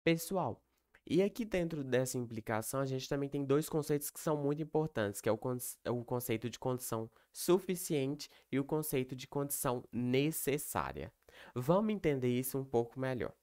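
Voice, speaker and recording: A man's voice: medium pitch, animated, moderate pace, a little mellow. A young man, giving a recorded online lecture. He explains step by step and keeps checking in with the listener. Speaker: teacher.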